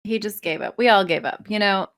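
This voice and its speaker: A woman's voice: medium pitch, brisk, a bit bright. A woman chatting casually on a podcast, talking in a candid, wry way with plenty of swearing. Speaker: podcast guest, audio creator.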